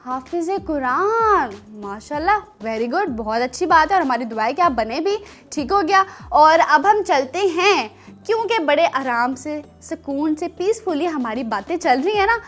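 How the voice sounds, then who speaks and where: High-voiced woman hosting a children's show. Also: measured pace, faint music behind. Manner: teasing, animated, talking to children. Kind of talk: chatty children's show presenting and storytelling.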